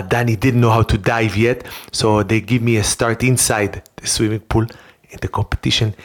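An earnest, childlike talk show host with an Israeli accent, as a comic performer plays it. The English is broken and halting, full of repetition and naive boasting. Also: medium pitch, natural voice. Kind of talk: comedic children's talk show hosting and storytelling.

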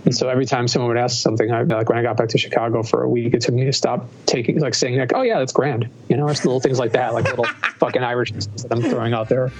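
A man's voice: mid-range voice, steady tone, quick pace, few pauses. An American man chatting casually in a rambling, sweary way. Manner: rambling, profane. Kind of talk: casual chat. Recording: muffled lo-fi audio with clear noise.

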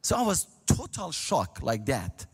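A man's voice: medium pitch, slightly rough. Man preaching in a reverberant hall. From Iran, near the Turkish border. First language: Azeri. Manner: earnest, halting non-native English, grows animated while telling his story. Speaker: guest speaker. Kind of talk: church testimony and talk.

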